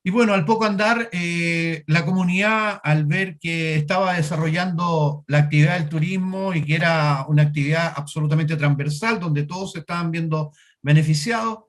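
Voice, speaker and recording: A Chilean man speaking as an interview guest over a video call, telling a long personal story in a halting way, with many fillers and restarts. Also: on the high side.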